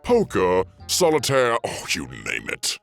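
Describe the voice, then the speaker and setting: Deep, swooping, sly boss played by a man. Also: huge pitch swings, takes it slowly, creaky, fairly bright tone, soft background music, full character voice. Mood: sly, inviting. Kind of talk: animated web series voice acting.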